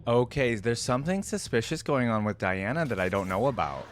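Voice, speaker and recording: A woman's voice: extremely low pitch, lively intonation, a little fast, background noise. A woman hosting a reaction video, talking in a casual, musing, conversational way. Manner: musing, conversational, often echoes lines back.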